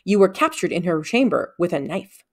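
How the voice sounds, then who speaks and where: A woman's voice: on the low side, very animated, normal speed. A woman voicing a stern, menacing female guard captain in a storybook reading. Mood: curt suspicious. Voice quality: soft, menacing.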